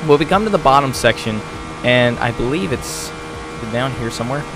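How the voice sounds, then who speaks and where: A man's voice: medium pitch, lively intonation, normal speed, music in the background, rough recording. A man giving casual game walkthrough commentary, chatty and thinking aloud as he plays. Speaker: gaming video host.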